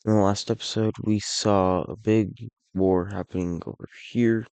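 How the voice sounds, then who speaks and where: A raspy, unwell man narrating a map. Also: lowish voice, fairly even intonation, normal speed, a little mellow, dry recording. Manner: rambling, with frequent restarts and self-corrections. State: unwell, a bit hoarse. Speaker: alternate-history map series creator.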